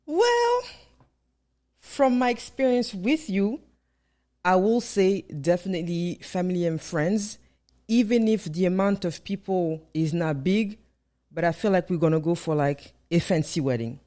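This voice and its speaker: A woman co-hosting a podcast in a relaxed, playful chat, asking questions. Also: fairly low pitch, measured pace. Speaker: podcast co-host. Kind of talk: casual chat.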